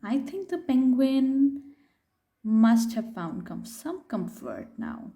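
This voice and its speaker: A woman with a high, softly mellow voice reads a children's story aloud. She takes her time, shaping the phrases expressively and stopping to put simple questions to her young listeners. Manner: expressive, unhurried, pausing to ask questions. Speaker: storyteller reading a picture book aloud to children.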